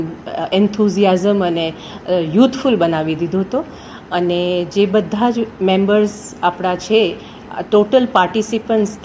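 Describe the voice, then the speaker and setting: A woman's voice: mid-range voice, darkish tone, a little hiss or hum, poor audio. A woman giving a semi-prepared event report, hesitating between items and mixing in English terms.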